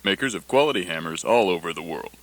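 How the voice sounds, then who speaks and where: A man's voice: low voice, expressive, fast talker, a bit bright. A man playing a sponsor announcer in a satirical radio news bulletin. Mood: announcing.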